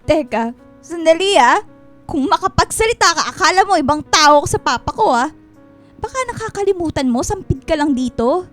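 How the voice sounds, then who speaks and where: An actress playing a guilty yet defiant young wife and daughter in a Filipino radio melodrama, dramatic and defensive. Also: female voice, very high voice, animated, a little fast. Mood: defiant, scornful. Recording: music bed behind the voice.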